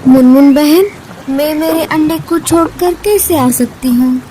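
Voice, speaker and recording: A woman's voice: sits high, a little slow, mellow, a little hiss or hum, rough recording. A woman voicing an anxious, protective mother bird in a children's cartoon, with a dramatic, exclamatory delivery. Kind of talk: Hindi children's cartoon dubbing.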